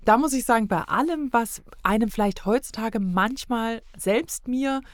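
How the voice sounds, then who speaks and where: A woman's voice: fairly high pitch, normal speed, dry recording, clean studio sound. A middle-aged woman talking casually as a podcast guest, often hesitating and searching for words. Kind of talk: casual conversational discussion.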